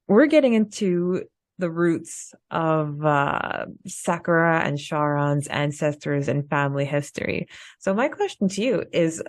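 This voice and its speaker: A woman's voice: on the low side, dry recording, studio quality. A woman co-hosting a podcast in a casual, chatty style. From Canada. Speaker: podcast co-host.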